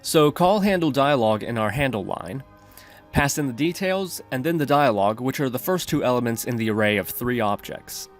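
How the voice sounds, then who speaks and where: Man, average pitch, scripted tutorial narration, methodical, instructional, faint background music. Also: animated, moderate pace. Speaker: programming tutorial host.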